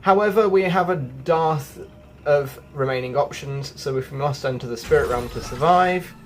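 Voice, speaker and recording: A man reading out a haughty, sceptical video game character in formal, measured, disdainful phrases. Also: a little high, faint music behind, close to an everyday voice. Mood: grudging disdain. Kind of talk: read-out of game quest dialogue.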